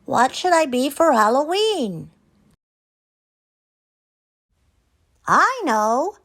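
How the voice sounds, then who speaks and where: A woman's voice: high-pitched, sing-song, takes it slowly, a bit bright, pitched above the performer's own voice, exaggerated voice. A woman voicing a cheeky, eager young boy frog in a theatrical, exclamatory storybook style. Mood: eager, excited. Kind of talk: animated children's storybook character voice.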